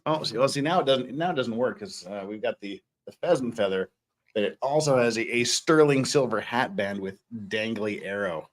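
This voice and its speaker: A man's voice: medium pitch, animated, normal speed. A man hosting a casual livestream, rambling and repeating words as he thinks aloud. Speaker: host of an online show.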